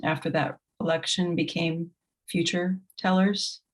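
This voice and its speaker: A woman's voice: fairly low pitch, level tone, unhurried. A woman, a guest poet, speaking in a frank, wry way. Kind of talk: conversational interview answers.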